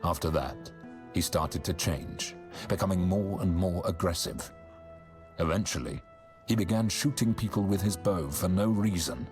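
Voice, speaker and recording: An actor playing a sombre adult male game character who recounts a bounty in a measured way. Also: low-pitched, a little mellow, close to an everyday voice. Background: game music.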